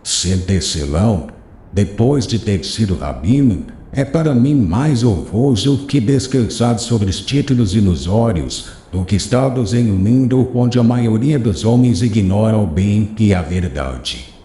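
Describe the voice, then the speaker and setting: An actor playing a wise, gentle old master, giving slow, solemn, fatherly counsel in an audiobook. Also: on the low side, expressive, reverberant. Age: older. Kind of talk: audiobook dialogue reading.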